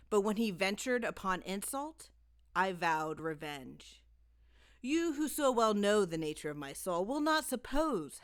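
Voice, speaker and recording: A woman narrating a classic story in a dramatic audiobook style, with theatrical, deliberate phrasing. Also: lowish voice, a bit bright.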